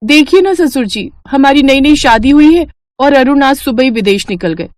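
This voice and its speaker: A naive young bride, earnest and flustered, played by a woman for a cartoon. Her voice is somewhat high for a woman and recorded dry and close. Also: expressive, normal speed, no echo. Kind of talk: cartoon dubbing.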